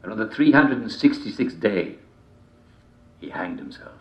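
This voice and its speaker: A man telling a dark fairy tale in a theatrical, deliberate manner, with repeated phrases and dramatic pauses. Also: fairly low pitch, lively intonation. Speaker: storyteller reading a folk tale. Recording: dull, roomy, echoing home recording.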